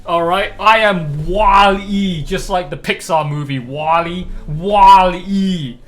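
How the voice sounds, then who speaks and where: High-pitched man, blunt streamer commentary. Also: slow. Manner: blunt, profane, slangy ranting. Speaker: game streamer. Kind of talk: casual live stream commentary. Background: faint game music.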